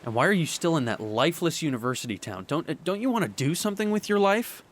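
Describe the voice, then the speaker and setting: A young man playing a heartbroken boyfriend in an audio drama monologue, speaking earnestly and haltingly. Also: fairly high pitch, big pitch swings. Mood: frustrated, accusing. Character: heartbroken young boyfriend pleading with his distant partner.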